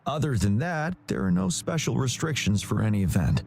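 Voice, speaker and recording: An actor playing a dutiful, courteous young military officer in a video game, speaking politely and formally. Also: male voice, mid-range voice, big pitch swings, brisk, creaky, a little mellow, slight background noise. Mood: composed, helpful.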